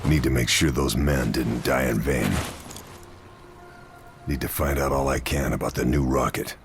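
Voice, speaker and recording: An actor playing a terse, grim Allied sniper in a video game, narrating in a clipped, deadpan, stern way. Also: male voice, a bass voice, moderate pace, noisy background. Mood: grim, measured. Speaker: narrator and protagonist. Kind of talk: brooding cinematic game narration.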